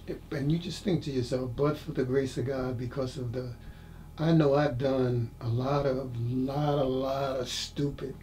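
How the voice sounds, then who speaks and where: A man's voice: medium pitch, steady tone, a little slow, mellow, a little hiss or hum. A man in his sixties interviewing an older man in casual conversation, asking prompting questions and laughing easily. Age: 60s.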